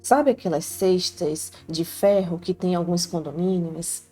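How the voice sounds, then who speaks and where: A woman's voice: on the low side, fairly even intonation, unhurried, mellow. A woman with a Brazilian Portuguese accent telling a life story in the first person, in an earnest, heartfelt, confiding tone. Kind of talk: first-person scripted narration. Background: faint background music.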